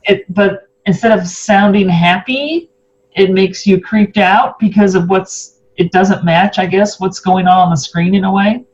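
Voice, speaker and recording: A man's voice: sits high, fairly even intonation, measured pace. A man interviewing in a casual, tentative way, feeling out his points as he talks. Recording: muffled, noisy, humming connection.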